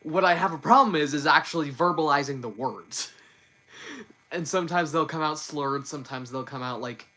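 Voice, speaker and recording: A man's voice: fairly high pitch, normal speed, sharp tone. A man hosting a casual livestream and talking off the cuff. He stumbles, restarts his sentences and repeats words often. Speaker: livestream host.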